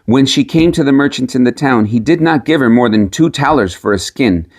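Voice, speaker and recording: A man's voice: medium pitch, lively intonation, fairly quick, a little mellow. A man reading a storybook tale aloud as scripted narration. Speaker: audiobook reader.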